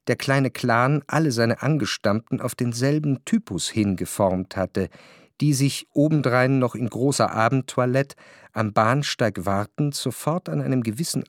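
A man's voice: mid-range voice, no echo. Dry-sounding male literary narrator. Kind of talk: literary scripted narration for radio drama. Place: radio studio. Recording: dry studio with music behind.

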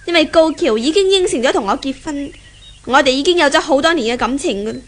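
Proud young Cantonese woman, high voice. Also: animated, a little fast. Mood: proud, firm. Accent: Hong Kong Cantonese. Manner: earnest, indignant. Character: proud, unyielding young woman defending her love. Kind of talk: 1970s Hong Kong radio drama acting.

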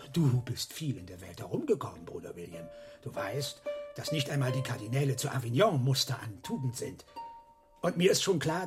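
A man plays a worldly monk cellarer weighed down by guilt in a radio play. His voice is on the high side, confessing with self-abasing emphasis. Faint plucked-string music sounds behind him. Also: lightly acted. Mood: wary confession. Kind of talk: radio drama acting.

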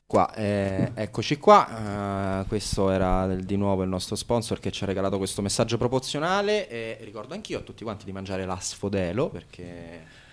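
A man's voice: on the low side, animated, moderate pace, clear, resonant tone, faint music behind. A man speaks. He co-presents on radio in an informal, joking, chatty style. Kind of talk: casual, joking radio chat.

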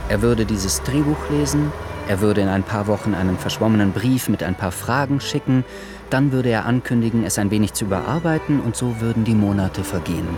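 Low-voiced male narrator over music. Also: animated, a little fast. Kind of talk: scripted literary narration in a radio play. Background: music behind the voice.

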